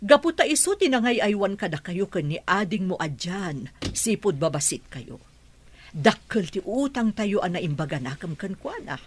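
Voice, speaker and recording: A woman's voice: mid-range voice, very animated, moderate pace, slight background noise, lightly acted. An actress with an Ilocano accent playing a stern mother who lectures her daughter firmly. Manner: firm, lecturing. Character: stern scolding middle-aged mother.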